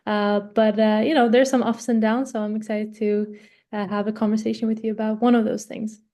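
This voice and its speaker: A woman's voice: mid-range voice, flat delivery, a little fast, mellow. A woman in her twenties from Sweden, a podcast guest who speaks politely and hesitantly, with frequent uhs. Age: 20s. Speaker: coaching client, podcast guest. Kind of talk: conversational interview.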